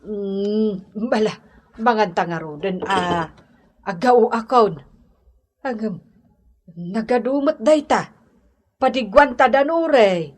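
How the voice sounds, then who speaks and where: An actress playing an elderly Ilocano wife, nosy and eager, with drawn-out exclamations in a comedy radio drama. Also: female voice, mid-range voice, expressive. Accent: Filipino, Ilocano.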